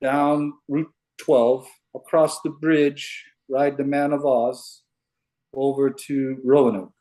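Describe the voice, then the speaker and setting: A man from Hawaii on a video call, explaining his puzzle theories in a halting, unhurried way. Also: medium pitch, little pitch movement, dark tone, poor audio. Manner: unhurried, halting, restarts often. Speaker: caller sharing puzzle theories. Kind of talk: casual video call chat. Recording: video call audio, breaking up.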